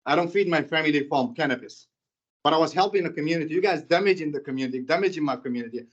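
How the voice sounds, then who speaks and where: Impassioned Sudanese-accented man, slightly high. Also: lo-fi sound. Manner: impassioned, halting, with many restarts and repetitions. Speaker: public commenter. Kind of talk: public comment at a board meeting.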